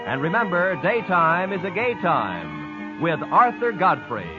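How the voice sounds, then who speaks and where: High, lively male announcer, tinny old radio. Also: unhurried. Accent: American. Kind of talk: 1950s radio announcing, scripted. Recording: tinny 1950s radio over music.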